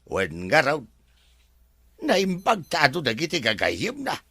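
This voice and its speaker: Bright, animated grumbling manservant. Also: male voice, on the high side, takes it slowly, creaky, slightly rough, dry recording, heavily acted. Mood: gossipy. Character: gossipy, grumbling male servant in a mansion. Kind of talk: Ilocano radio drama acting.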